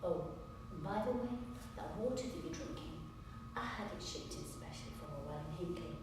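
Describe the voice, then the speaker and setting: A woman playing a sharp-tongued, indignant lawyer in a theatrical stage monologue, combative, sarcastic and emphatic. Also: mid-range voice, very animated, unhurried. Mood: cutting, sarcastic. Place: large echoing performance room, distant microphone. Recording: echoey hall from far off, faint noise.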